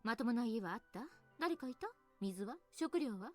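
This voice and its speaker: Actress voicing cocky teasing anime game woman, talks super fast, really swoopy pitch, music under it. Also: animated, close to an everyday voice. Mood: blunt. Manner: blunt, dismissive, teasing. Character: brisk, blunt, teasing, self-assured adult woman. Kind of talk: Japanese visual novel voice acting.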